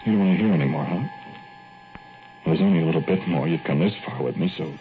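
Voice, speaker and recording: A man's voice: a bass voice, fast, background noise. An actor playing a creepy, rambling rural man who keeps a giant fly, in 1940s radio acting. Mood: haunted. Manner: folksy, rambling, giggling menace. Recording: tinny old radio broadcast with music.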